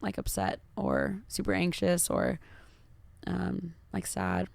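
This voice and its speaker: A woman with a naturally raspy voice, chatting openly and reflectively as a podcast guest, with lots of fillers. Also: lowish voice, huge pitch swings, slow pace, a little mellow, no room sound. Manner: open, reflective, heavy use of fillers. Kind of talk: casual podcast chat.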